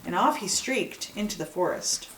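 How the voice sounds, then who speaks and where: Lady reading a kids story out loud, expressive, mid voice, echoey and a bit far away. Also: mid-range voice, normal speed, slight background noise. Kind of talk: expressive children's story narration. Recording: echoey room, distant microphone.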